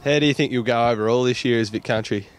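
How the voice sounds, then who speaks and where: A man's voice: mid-range voice, quick pace, slight background noise. Australian man reporting sports news. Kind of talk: scripted sports news report with casual interview questions.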